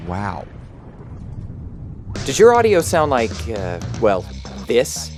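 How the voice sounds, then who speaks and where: A man's voice: medium pitch, huge pitch swings, slow pace, a little mellow, faint music behind. A man giving an upbeat, direct tutorial to camera as an audio educator, recorded on a studio condenser mic. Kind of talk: casual tutorial talk to camera. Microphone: studio condenser mic on arm.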